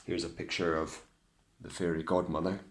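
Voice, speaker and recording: A Scottish man reading aloud as a teacher to children, in an expressive, theatrical storytelling style with hushed asides. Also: low-pitched, moderate pace, slight background noise.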